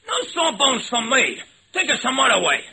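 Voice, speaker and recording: A man's voice: very high-pitched, very animated, moderate pace, vocal fry, sharp tone, breathy edge, exaggerated voice. An actor playing a brash, jittery 1920s gangster with slangy tough-guy talk in an old-time radio drama. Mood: stubborn, agitated. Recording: noisy old radio recording.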